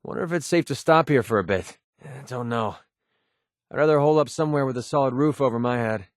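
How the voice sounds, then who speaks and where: A man's voice: mid-range voice, quick pace, dry recording, studio quality, close to an everyday voice. A man playing a cynical, wisecracking young mercenary sniper in a video game, speaking in an offhand, grumbling, sardonic way. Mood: uneasy, casual. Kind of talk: video game companion dialogue.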